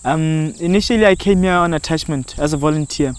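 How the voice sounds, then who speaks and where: A man's voice: fairly high pitch, a little fast, clear, resonant tone. A young man, an environmental educator and guide, introducing himself in an earnest interview with small hesitations.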